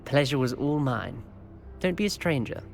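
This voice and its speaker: A man playing a polite, measured teenage wizard with dry amusement in a video game. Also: medium pitch, big pitch swings, vocal fry, music in the background. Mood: amused, friendly. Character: wry, courteous teenage schoolboy wizard from old family. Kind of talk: scripted video game dialogue.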